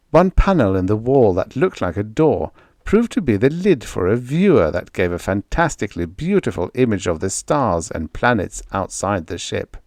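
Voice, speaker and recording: A man's voice: fairly low pitch, darkish tone, dry recording, clean studio sound. A man reading a story aloud as a scripted audiobook narration.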